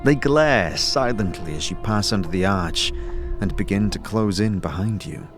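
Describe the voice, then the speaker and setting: Music plays clearly behind a man with a low voice. He narrates an audio drama in a measured, descriptive storybook style. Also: animated.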